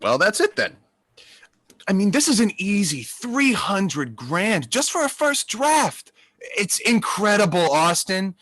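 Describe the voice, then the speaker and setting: A man's voice: high-pitched, sing-song, takes it slowly, fairly bright tone, dry recording, full character voice. A man playing a genial, pushy Hollywood producer in a play reading, coaxing like a salesman. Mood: hard selling.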